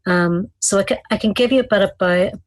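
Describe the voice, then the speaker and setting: A woman's voice: mid-range voice, fairly quick, no room sound, poor audio. An Irish woman in her thirties, a guest on a video call, earnestly explaining history with frequent ums and restarts. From Ireland. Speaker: guest, community activist. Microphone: video call webcam.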